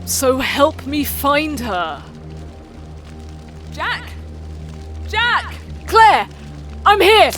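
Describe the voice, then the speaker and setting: A man's voice: extremely high pitch, sing-song, drawn-out words, long pauses, bright, forceful tone, soft background music, heavily acted. A man playing a frantic, blunt, sweary teenage boy in an audio drama. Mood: desperate. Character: panicked, sweary British teenage boy searching for sister.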